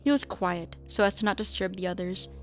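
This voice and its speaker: A woman's voice: medium pitch, a little fast. A woman reading scripted narration for a radio drama as the storyteller. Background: music.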